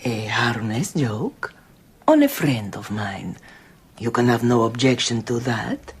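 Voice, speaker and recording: An actress playing a scheming, theatrical countess in a radio drama, languid, imperious and fatalistic. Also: female voice, very deep voice, expressive, slow, breathy edge, heavily acted. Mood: slyly coaxing.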